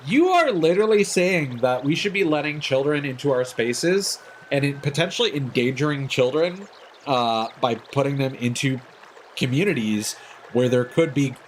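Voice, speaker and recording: A man's voice: mid-range voice, lively intonation, moderate pace, slight background noise. A man chatting casually on a livestream as its host, rambling through his opinions. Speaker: live streamer hosting a game stream.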